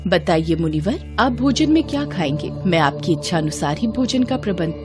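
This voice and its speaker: A woman's voice: on the low side, expressive, normal speed, darkish tone, soft background music, natural voice. A woman playing a devout, gracious and dutiful sage's wife in a devotional story, speaking politely and earnestly with reverence. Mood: humble, welcoming. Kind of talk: devotional Hindi story dramatization.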